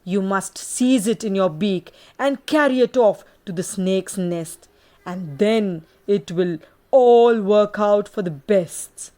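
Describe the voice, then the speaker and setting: A woman voicing a sly, clever male fox in a children's storybook, giving advice in a deliberate, scheming way. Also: mid-range voice, warm tone, natural voice. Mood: sly, instructive.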